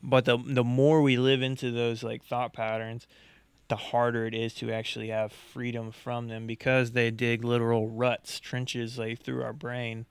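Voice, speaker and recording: A man with a steady, level pitch co-hosts a casual podcast and explains his ideas earnestly, leaning on a lot of filler words. His voice is clear and smooth. The sound is dry and close to the mic. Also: dry recording. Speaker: podcast co-host.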